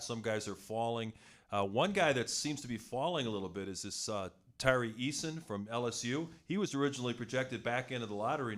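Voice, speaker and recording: A man co-hosting a sports podcast, giving steady analysis and asking questions. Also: medium pitch. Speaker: podcast co-host. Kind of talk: casual sports talk, posing questions.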